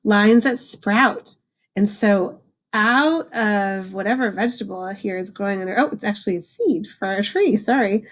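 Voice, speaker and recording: A woman reading a picture book aloud to children, adding informal explanations and slowing down for the book's lines. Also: medium pitch, poor audio. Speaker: read-aloud host, teacher for children.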